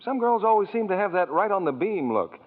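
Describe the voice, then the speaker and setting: A man's voice: sits high, sing-song, brisk. A man working as a commercial announcer, giving scripted 1940s radio sales patter. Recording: tinny 1940s radio broadcast.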